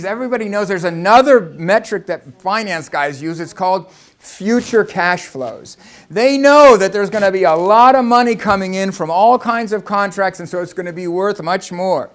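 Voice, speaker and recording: An American man from Los Angeles giving a conversational lecture to an audience, explaining with rhetorical questions. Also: high voice. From Los Angeles, United States. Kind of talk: presentation talk to an audience.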